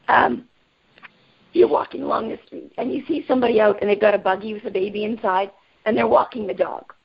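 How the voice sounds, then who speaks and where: Upbeat woman running a team call, gravelly husky voice, kinda muffled audio. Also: medium pitch. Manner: upbeat, energetic, asks group questions. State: raspy voice today. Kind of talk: motivational group call.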